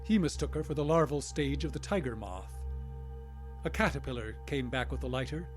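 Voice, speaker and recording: A man plays a captive older scientist in a radio drama, guarded and stubborn. His mid-pitched voice carries a terse resolve over faint music. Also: lively intonation, brisk, lightly acted. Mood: defiant, explaining. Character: defiant, wary older scientist held captive.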